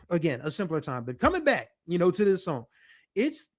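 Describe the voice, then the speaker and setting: A man in his forties speaks at a rather high pitch for a man. He hosts his own show, talking in a relaxed, meandering way with plenty of fillers. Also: normal speed. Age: 40s. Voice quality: deeper voice. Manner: relaxed, rambling, with frequent fillers. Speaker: podcast host. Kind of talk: casual nostalgic monologue.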